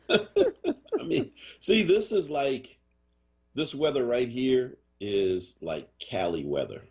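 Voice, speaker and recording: A man's voice: mid-range voice, slow pace, breathy edge, lo-fi sound. A man hosting a morning radio show in casual chat, joking and teasing, with a Boston accent. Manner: animated, joking, does mock voices. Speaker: radio host.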